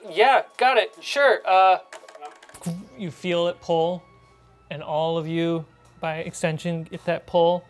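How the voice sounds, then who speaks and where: A woman's voice: lowish voice, fairly even intonation, slow pace, faint music behind. A woman narrating a tabletop role-playing game as its game master.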